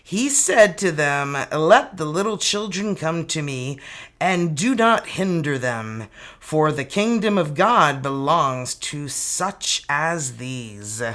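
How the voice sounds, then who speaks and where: A tarot reader in their fifties, talking in a loose, chatty way and breaking into exclamations. Their speaking pitch sits in the middle of the usual range. Card quotes are read out slowly and carefully. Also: animated, fairly bright tone. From Canada. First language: French. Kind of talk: casual tarot reading to camera.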